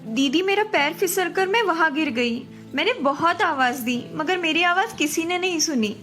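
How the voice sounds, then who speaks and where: A woman's voice: very high-pitched, lively intonation, moderate pace, a bit bright, faint music behind. A woman voicing a pert college-age younger sister in a cartoon story, with a girlish, earnest delivery. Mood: grateful. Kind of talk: Hindi animated moral story dialogue.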